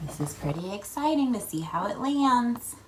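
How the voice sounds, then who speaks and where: A woman reading a children's picture book aloud in a playful, expressive way, prompting young listeners with questions. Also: fairly high pitch, normal speed, slight background noise, poor audio. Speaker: children's read-aloud host.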